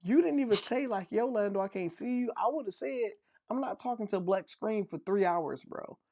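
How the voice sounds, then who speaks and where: A man's voice: sits high, animated, normal speed, a little mellow, no echo, studio quality. A man co-hosting a casual podcast, playfully telling dad jokes and laughing at them. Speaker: podcast co-host.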